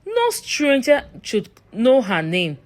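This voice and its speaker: A woman's voice: sits high, wildly swooping pitch, slow. A woman narrating a scripted horror story in a dramatic, suspenseful manner.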